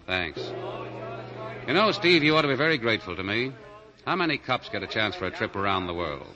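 An actor playing a suave, unflappable fugitive in a 1940s radio drama, with a breezy, teasing, urbane manner. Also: male voice, fairly low pitch, big pitch swings, a little fast, muffled recording, a crowd in the background. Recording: 1940 radio broadcast.

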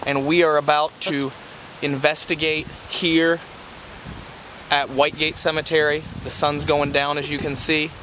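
A man's voice: a little high, little pitch movement, measured pace, sharp tone, a little hiss or hum, poor audio. A young man, hosting a casual outdoor vlog, talking upbeat to a handheld camera while walking. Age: young adult. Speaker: vlog host. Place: outdoors by a creek and cemetery. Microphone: handheld camera at arm's length.

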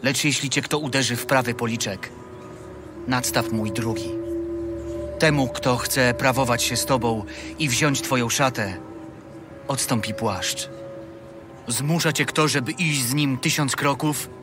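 Guy as a calm holy teacher in a bible radio play, mid-pitched, earnest, music behind. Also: unhurried, pauses often, natural voice. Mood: calm teaching. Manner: earnest, instructive. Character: calm, authoritative Jesus teaching his followers. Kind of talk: dramatized gospel reading in an audio drama.

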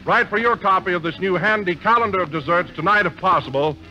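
A hearty male announcer giving a smooth sales pitch on a 1940s radio broadcast. His voice is bright and slightly high, and the dull lo-fi recording has faint music under it. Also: fast. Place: theater stage with live audience.

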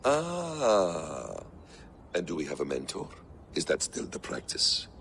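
Game villain: an unhurried, silky ancient schemer, very deep and gravelly, pitch swinging widely. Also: male voice, wildly swooping pitch, slight background noise, heavily acted. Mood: composed. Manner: measured, silky, quietly menacing. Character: calm scheming ancient hooded man. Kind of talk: video game cutscene acting.

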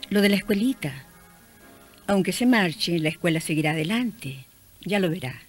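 A woman playing a kindly, hopeful shopkeeper mother in a radio drama, speaking gently and reassuringly in conversation. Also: on the low side, animated, moderate pace, faint music behind. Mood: friendly.

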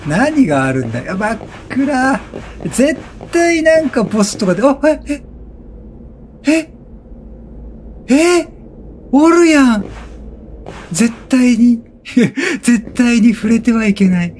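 A man giving excited game commentary, exclaiming and repeating words rapidly as he reacts. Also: very high voice, slight background noise. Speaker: let's play gamer. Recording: game music behind the voice. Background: video game music.

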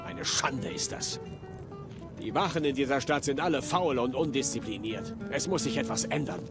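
A man's voice: medium pitch, huge pitch swings, moderate pace, music in the background. An actor voicing a disgruntled male townsman in a game, complaining indignantly.

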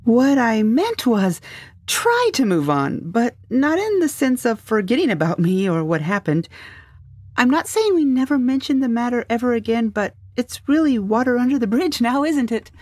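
A woman's voice: a little high, very animated, moderate pace, darkish tone, dry recording. A woman playing a secretive, breezy ex-wife in a dystopian audio drama. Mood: tender, conciliatory.

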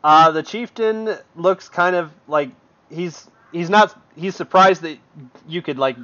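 A man's voice: high-pitched, unhurried, bright, forceful tone. A man narrating as game master in an online tabletop session, loose and full of fillers, laughing often. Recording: dull lo-fi voice chat audio.

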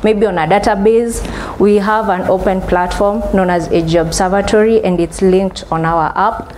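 A woman's voice: medium pitch, fairly even intonation, moderate pace, a little mellow, slight background noise. A young woman giving a conference presentation from a podium, explaining steadily with some ums and restarts. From Kenya. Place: large conference hall with stage. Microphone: podium microphone.

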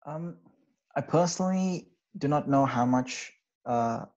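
Young male student from Sri Lanka, casual and halting, heard over a muffled video call. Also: a little high. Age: young adult. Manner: relaxed, hesitant, many fillers. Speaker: undergraduate student. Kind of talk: casual interview answers. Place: small bedroom, indoors. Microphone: video call with wired earphones. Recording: slightly dull video-call audio.

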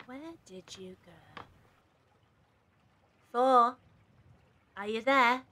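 Female actor as determined woman captive, confrontational, earnest, unhurried pace, audio drama, slight background noise. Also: fairly high pitch. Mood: pleading. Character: determined, accusing woman leader among captives. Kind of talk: fan audio drama acting.

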